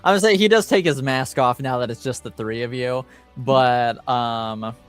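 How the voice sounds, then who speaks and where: A man runs a tabletop game with casual, improvised narration. He speaks haltingly at a medium pitch. Faint music plays behind him. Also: clear, resonant tone. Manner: hesitant, halting. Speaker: game master.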